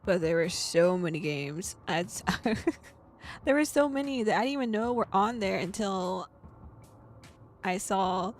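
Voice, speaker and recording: A woman's voice: medium pitch, animated, measured pace, soft background music. A young woman streamer in casual, rambling chat, giggling often and talking in a bubbly way into a desk microphone. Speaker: VTuber streamer.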